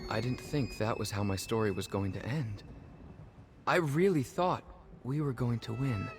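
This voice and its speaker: A man's voice: mid-range voice, moderate pace, music in the background, lightly acted. A man playing an anxious young man burdened by dangerous magic in a fantasy game, speaking in an earnest, emotional way. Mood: despairing. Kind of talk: dramatic fantasy game acting.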